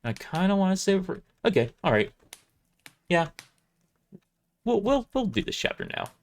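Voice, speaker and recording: A man's voice: high-pitched, very animated, normal speed, creaky. A man reading a story aloud on a livestream in scripted narration, with casual asides.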